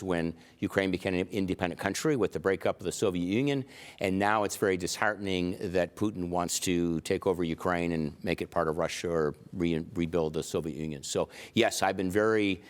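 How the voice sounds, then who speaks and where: A man's voice: low-pitched, steady tone, moderate pace, clear, resonant tone. A man in his sixties, a county sheriff, giving earnest, emphatic answers with many fillers in a TV interview. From United States.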